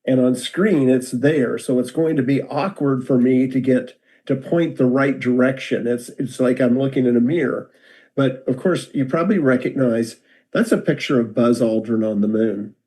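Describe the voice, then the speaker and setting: An older American man tells stories in a relaxed, meandering way, often stopping and restarting his sentences. His voice is mellow and dark, and he is heard over a video call. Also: medium pitch, normal speed. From United States. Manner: relaxed, rambling, self-deprecating with many restarts. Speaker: guest speaker.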